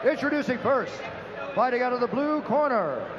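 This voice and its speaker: A man working as ring announcer, calling out fighters' names with long, drawn-out shouts over a handheld mic in a large indoor arena. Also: sing-song. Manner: booming, drawn-out name calls. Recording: muffled arena PA with loud crowd noise.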